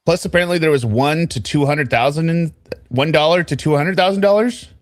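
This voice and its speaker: A man's voice: on the high side, a little fast, no room sound, studio quality. A man hosting a recap show, commenting casually and animatedly and sometimes mimicking the people he quotes. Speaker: host of a trial recap show.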